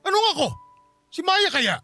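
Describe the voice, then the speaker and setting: A man's voice: extremely high pitch, very animated, moderate pace, pushed and bright, soft background music, exaggerated voice. A man with a Filipino accent playing an irritable married man who scolds and blames his mistresses in a radio drama. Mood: exasperated, accusing. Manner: exasperated, scolding.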